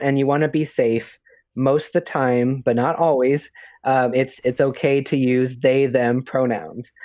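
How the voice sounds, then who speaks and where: A man's voice: medium pitch, a little mellow, no room sound. A man co-hosting a podcast in casual chat, talking hesitantly with lots of fillers and restarts. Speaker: podcast co-host. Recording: dull lo-fi audio.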